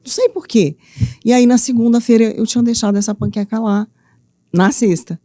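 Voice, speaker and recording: A middle-aged woman from Minas Gerais with a Minas accent, telling her story as a studio interview guest with lively, playful earnestness. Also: fairly high pitch, a little fast, no room sound. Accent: Minas Gerais Brazilian Portuguese. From Minas Gerais, Brazil. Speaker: guest, author and mentor.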